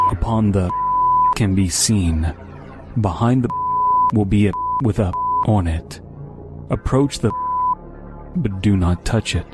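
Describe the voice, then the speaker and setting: A man reading a scripted horror story in a measured, solemn, deliberate way. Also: low-pitched. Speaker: story narrator. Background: loud music.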